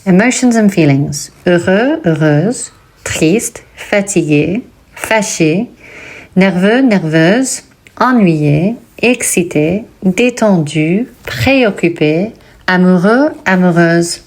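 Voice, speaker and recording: Slow, careful woman reading French vocabulary. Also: on the low side, lively intonation, darkish tone. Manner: slow, deliberate word-by-word reading. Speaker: French teacher and textbook author. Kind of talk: pronunciation lesson reading.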